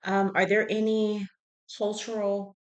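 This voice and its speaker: A woman's voice: mid-range voice, level tone, unhurried, lo-fi sound. A woman working as a healthcare intake interviewer, asking health history questions conversationally and methodically, checking each answer.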